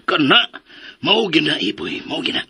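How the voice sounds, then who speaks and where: A man acting an excitable, scheming, easily outraged boss in a comedic radio drama, raspy and exclamatory, repeating his words. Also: a little high, full character voice.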